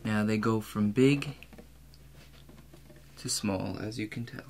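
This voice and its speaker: Young male, soft-spoken ASMR doctor, calm step-by-step instruction, very slow delivery, audible hum behind. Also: lowish voice. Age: 20s. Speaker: role-player acting as an examining doctor. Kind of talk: soft-spoken ASMR medical role play. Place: small room indoors. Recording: clear steady background hum.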